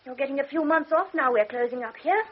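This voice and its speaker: A woman's voice: sits high, lively intonation, quick pace. A proud, bitter young woman. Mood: teasing. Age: young adult. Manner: sharp, wounded, swings to shouting. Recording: dull radio drama recording with faint hiss.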